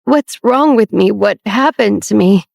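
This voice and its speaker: A woman voicing a young woman in severe pain, weak and raspy, pleading in halting, broken phrases. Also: medium pitch, a little mellow, no room sound, studio quality. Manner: halting, breaking off and repeating words.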